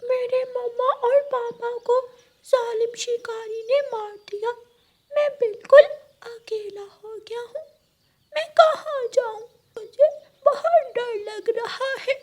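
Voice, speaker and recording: A tiny, frightened orphaned boy bird in a cartoon, acted by a woman. The voice is very high, plaintive and whining, full of tearful grief. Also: steady tone, slow, darkish tone. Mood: tearful, scared. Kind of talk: Hindi animated story dialogue.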